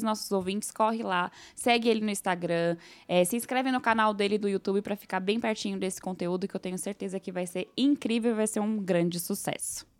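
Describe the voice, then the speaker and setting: A Brazilian woman hosting a podcast in a conversational, chatty and effusive way. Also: medium pitch. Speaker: podcast host.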